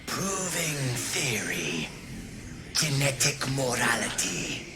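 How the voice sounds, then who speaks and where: An actor playing a reanimated scholar's corpse in a video game, answering in halting, clipped fragments. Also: male voice, medium pitch, sing-song. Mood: lifeless, halting. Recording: game music underneath. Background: game music.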